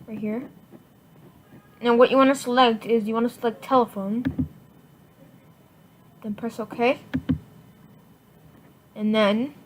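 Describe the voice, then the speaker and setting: A woman giving a casual software tutorial in informal, halting step-by-step instructions. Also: on the high side. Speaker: tutorial presenter. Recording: noisy home computer mic, clicks.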